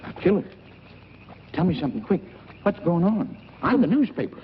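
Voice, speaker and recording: A man's voice: high voice, sing-song, moderate pace. A man acting a big, eager, insistent small-town newspaperman in a vintage film drama. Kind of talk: 1940s film character acting. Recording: dull, slightly noisy old film soundtrack.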